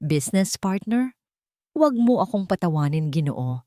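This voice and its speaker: A woman's voice: lowish voice, very animated, normal speed, dark tone, no echo, studio quality. Woman acting haughty, sneering Filipino rich matriarch. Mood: mocking. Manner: sneering, menacing. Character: haughty, scornful rich matriarch, cruel mother-in-law.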